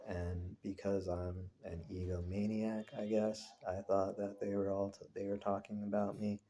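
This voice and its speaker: A man recording a casual personal vlog, talking in a rambling, introspective, halting way. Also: fairly low pitch, little pitch movement, warm tone. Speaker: vlogger.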